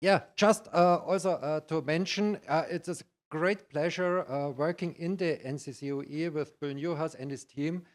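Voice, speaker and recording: Halting Bavarian man, slightly high voice. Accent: Bavarian German. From Bavaria, Germany. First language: German. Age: middle-aged or older. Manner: halting, hesitant phrasing in non-native English. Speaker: conference speaker, IT practitioner. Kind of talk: conference presentation.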